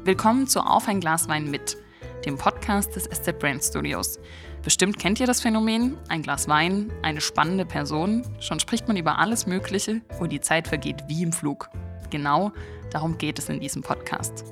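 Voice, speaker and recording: A woman hosting a podcast, reading a scripted introduction and then chatting casually with her guest, cheerful and quick to laugh. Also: low voice, animated, vocal fry, fairly bright tone, soft background music. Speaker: podcast host.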